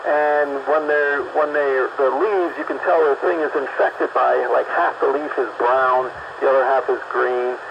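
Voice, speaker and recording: A man in a casual group chat, explaining things in an informal, halting way. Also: medium pitch, fairly even intonation, gravelly. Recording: dull lo-fi radio, background noise.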